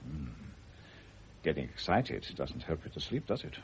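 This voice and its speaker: An actor playing a shrewd, dry, unflappable family doctor in a classic radio drama, measured and pointedly probing. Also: male voice, a bass voice, very animated, full character voice. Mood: dry, firm. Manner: measured, wry, pointedly philosophical. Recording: muffled, noisy old radio recording.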